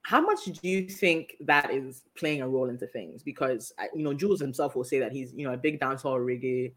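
A woman's voice: very deep voice, a little fast, fairly bright tone. A woman from Africa hosting a music podcast, talking in a conversational interview style. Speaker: podcast host.

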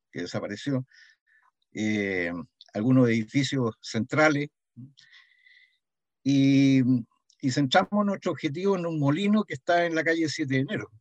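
An older man with a Chilean accent reminisces in a conversational interview, pausing and repeating words, often adding a short questioning "mm". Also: mid-range voice, no echo, lo-fi sound. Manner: hesitant, frequent "¿m?" tags and repeated words. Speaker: interviewee, heritage association founding member.

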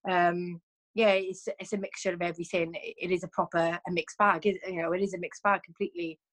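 A chatty woman with a fairly low voice, talking as a guest over a video call. She keeps going with many ums and restarts. Her tone is easy and conversational. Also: little pitch movement, fairly quick, fairly bright tone.

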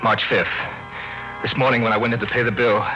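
An actor playing a haunted husband on the run in an old radio drama, speaking in a grave, deliberate, dramatic way. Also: male voice, mid-range voice, little pitch movement, natural voice. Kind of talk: 1940s radio drama acting. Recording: dull old radio recording with music.

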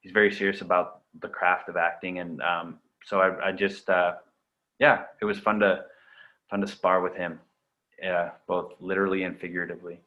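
Canadian-raised guy, german first language, lowish voice, rambly and relaxed, dull lo-fi sound. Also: moderate pace. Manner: relaxed, rambling with many fillers and restarts. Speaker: actor, interview guest. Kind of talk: casual interview.

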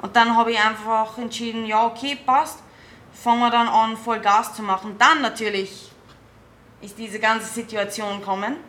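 Bright-voiced young woman. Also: on the high side. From South Africa, raised in England. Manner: lively, quick, slightly non-native German. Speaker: band booker and musician. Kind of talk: casual interview chat.

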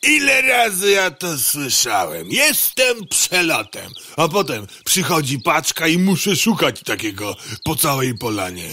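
A man's voice: on the high side, wildly swooping pitch, unhurried, hardly any pauses, sharp tone, slightly rough, exaggerated voice. A man playing a crude, mocking, gossipy postman in a comic radio play, teasing in a sneering, theatrical way.

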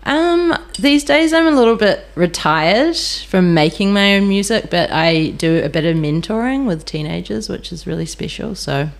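A woman's voice: mid-range voice, normal speed, vocal fry, few pauses. A woman, a cinema venue manager, chatting casually and hesitantly in an interview. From New Zealand. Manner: relaxed, hesitant, lots of ums.

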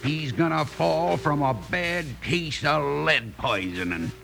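An actor playing a menacing cattle rustler with a gruff, hostile manner and an American Western accent in a radio western. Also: male voice, a little high, expressive, slow pace, sharp tone, soft background music, full character voice. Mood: scheming. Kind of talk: 1950s radio western acting. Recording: faintly noisy vintage radio recording.

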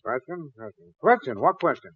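A man's voice: fairly high pitch, very animated, moderate pace, husky. An American actor playing a fussy, blustery middle-aged father in 1940s radio comedy, sputtering and theatrical. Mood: jovial. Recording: thin, tinny 1940s radio broadcast.

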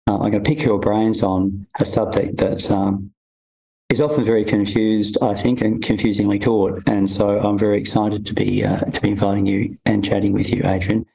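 A man with a husky voice hosting a medical podcast, explaining and talking in an informed way. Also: fairly low pitch, steady tone, normal speed, dark tone, slight background noise, low-quality recording. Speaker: podcast host. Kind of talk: scripted medical narration and interview talk.

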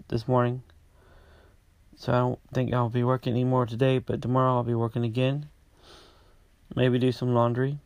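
Flat-voiced congested man. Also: medium pitch, no echo. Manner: halting, many restarts and fillers. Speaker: podcast host. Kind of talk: casual personal update.

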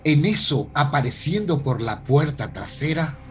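A man's voice: a little high, expressive, measured pace, slight background noise. A man hosting and narrating a radio soap opera from a script in a theatrical presenter's manner. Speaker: host and narrator.

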